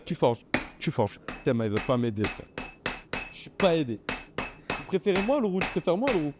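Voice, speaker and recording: A man's voice: on the high side, very animated, fairly quick, background noise. A young man streaming live from a forge workshop, teasing his friends in slangy casual banter. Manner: teasing, slangy, repeats words.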